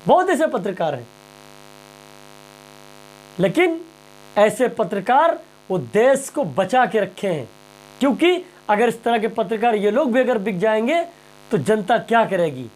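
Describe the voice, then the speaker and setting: A man's voice: high voice, very animated, measured pace, pauses often, darkish tone. A man presenting impassioned news commentary straight to viewers, emphatic and rhetorical, repeating phrases and questions.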